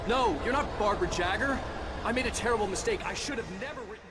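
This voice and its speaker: A man's voice: sits high, quick pace, close to an everyday voice. A man acting in a video game as a distraught, suspicious writer, agitated and demanding. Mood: horrified. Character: distraught, suspicious male writer afraid of the dark. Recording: loud ambient noise, slightly distant.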